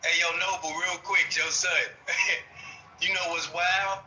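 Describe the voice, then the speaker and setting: A man's voice: sits high, flat delivery, takes it slowly, bright, forceful tone. Slangy man arguing in an echoey room. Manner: slangy, keeps saying bro. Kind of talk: casual heated debate. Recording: echoey room, faint noise.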